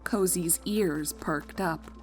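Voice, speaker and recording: A woman's voice: on the low side, slow pace, faint music behind. A woman reading scripted story narration as the narrator of a fan fiction.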